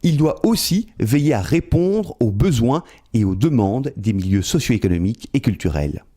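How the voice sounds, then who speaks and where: Mellow Belgian man narrating. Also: mid-range voice, very animated. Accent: Belgian French. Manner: measured, explanatory. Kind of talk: scripted documentary narration.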